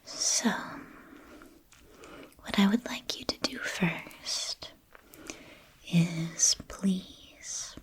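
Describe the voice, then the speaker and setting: A woman whispering a guided ASMR meditation, speaking gently and soothingly in measured phrases with long pauses. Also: lowish voice, steady tone.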